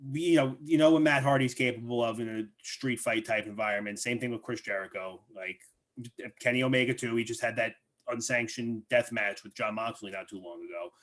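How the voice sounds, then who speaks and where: A man co-hosting a wrestling podcast, speaking in a measured way and hedging his points with fillers. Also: mid-range voice, a bit bright. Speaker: podcast co-host. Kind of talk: casual wrestling chat.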